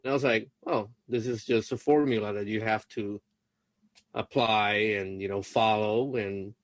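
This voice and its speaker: A man's voice: fairly low pitch, steady tone, moderate pace, dry recording. A young man from Puerto Rico whose first language is Spanish, talking hesitantly with many fillers on a video coaching call. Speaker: LSAT student being coached.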